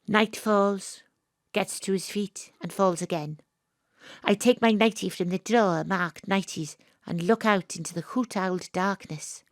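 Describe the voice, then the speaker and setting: A woman's voice: mid-range voice, measured pace, dry recording, clean studio sound, natural voice. An actress playing a young woman investigator, wry and weary, narrating her story lyrically in an audio drama. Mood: wry, reflective.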